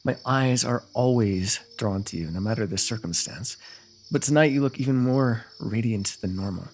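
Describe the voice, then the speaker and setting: Man acting as a flirtatious, creaky-voiced fantasy royal. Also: fairly low pitch, moderate pace, a little hiss or hum, lightly acted. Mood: admiring, flirtatious. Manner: formal, courtly phrasing with teasing flirtation. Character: teasing, lovestruck adult royal ruler, courtly and flirtatious. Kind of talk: ASMR fantasy roleplay acting.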